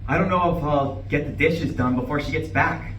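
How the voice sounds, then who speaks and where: Guy acting as young husband in a play reading, eager n anxious, bright voice, echoey room, mic far off. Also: a little high, normal speed, close to an everyday voice. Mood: uneasy. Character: eager, anxious young husband trying to please his wife. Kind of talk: live staged play reading. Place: live reading in a university room. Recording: roomy, distant mic with faint noise.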